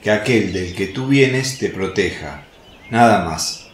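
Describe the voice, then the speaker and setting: A slow, humble crippled beggar. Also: male voice, on the low side, lively intonation. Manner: humble, pleading. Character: humble crippled male beggar, pleading and grateful. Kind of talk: radio drama acting. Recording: roomy sound with faint noise. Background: birdsong, outdoor ambience.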